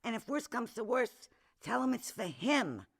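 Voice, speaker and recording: An actress playing a tough, worldly, wisecracking office pal in a comedic radio drama, with slangy, salty delivery. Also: female voice, on the high side, very animated, moderate pace, gravelly, slightly rough, full character voice. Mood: scheming, confident.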